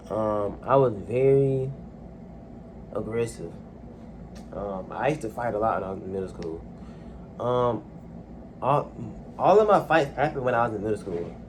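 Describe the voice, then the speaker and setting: A young man talking casually and haltingly into a phone at home, with a soft, low-key delivery and lots of fillers. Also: slight background noise. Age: young adult. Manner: soft-spoken, hesitant, many fillers. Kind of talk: casual personal monologue. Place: home, alone in a room.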